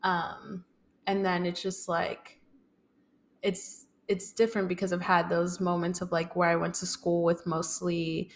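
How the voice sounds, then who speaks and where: A woman's voice: fairly low pitch, flat delivery, measured pace, slight background noise. A young woman, speaking casually and tentatively as a podcast guest, thinking as she goes. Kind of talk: casual personal conversation.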